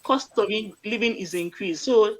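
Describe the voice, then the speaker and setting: A woman's voice: mid-range voice, moderate pace. A woman, a doctor on a live online panel, explaining plainly and repeating key phrases. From Nigeria. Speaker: medical doctor, panel guest.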